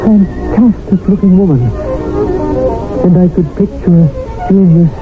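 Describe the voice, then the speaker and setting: A man's voice: sits high, fairly even intonation, measured pace. An actor playing a man who narrates his own story in a 1970s radio drama, on a muffled broadcast. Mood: brooding, rueful. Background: music.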